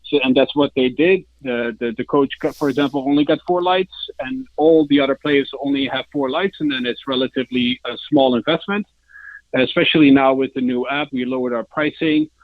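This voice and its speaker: Male guest, Dutch accent, halting delivery pitching a product in an interview; dull, band-limited call sound with little room. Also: no room sound. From Netherlands. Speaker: sales director, interview guest.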